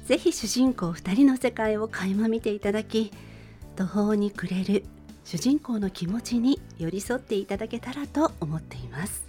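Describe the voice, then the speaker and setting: A middle-aged woman hosts a radio show in a soft-spoken style. Her voice sits at a medium pitch, and faint music plays behind her as she introduces songs to listeners. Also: lively intonation, normal speed.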